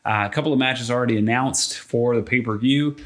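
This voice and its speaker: A man's voice: medium pitch, fairly quick. A man co-hosting a casual wrestling podcast, talking enthusiastically like an announcer. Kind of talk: casual podcast chat about pro wrestling.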